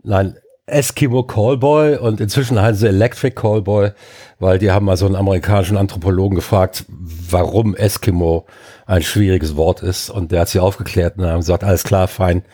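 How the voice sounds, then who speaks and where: A man's voice: low voice, fairly even intonation, brisk, dark tone. An older man and podcast co-host with a stuffy nose from a cold, ranting emphatically in casual chat with many fillers.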